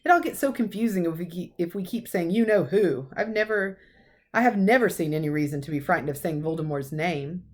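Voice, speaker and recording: A woman voicing a gentle, calm, whimsical old male wizard in a storybook reading. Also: lowish voice, brisk. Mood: gentle, mild.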